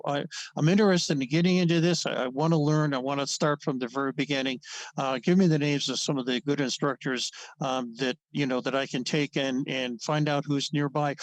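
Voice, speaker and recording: A man's voice: on the high side, no echo, low-quality recording. A man in his seventies, a dentist guest, talking in a casual, rambling way with many fillers and restarts. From Ohio. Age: 70s. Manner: chuckles often. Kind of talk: casual interview conversation.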